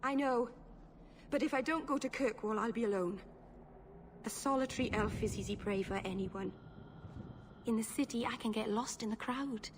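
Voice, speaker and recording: A woman's voice: a little high, normal speed, pauses often, background noise, natural voice. A woman as a shy, apologetic young elf mage. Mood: resigned, earnest. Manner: nervous, apologetic, rambling. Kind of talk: video game voice acting.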